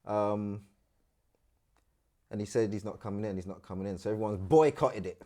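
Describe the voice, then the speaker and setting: A middle-aged man from Trinidad, telling his story as an interview guest in animated, slangy casual talk. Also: fairly low pitch, moderate pace. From Trinidad and Tobago.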